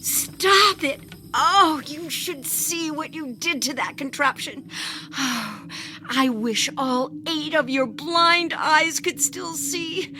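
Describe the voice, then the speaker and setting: High, bright headstrong alien wife. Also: female voice, expressive, takes it slowly, exaggerated voice. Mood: relieved, tender. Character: two-headed alien country wife, headstrong, affectionate. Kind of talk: radio drama acting.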